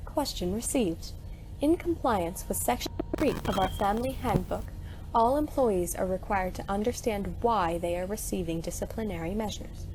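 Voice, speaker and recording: A woman playing a robotic corporate orientation system in an audio drama, monotone and bureaucratic, with stilted procedural politeness. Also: mid-range voice, moderate pace, noisy background, natural voice. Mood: flatly polite. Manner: stilted, procedural, scripted announcements.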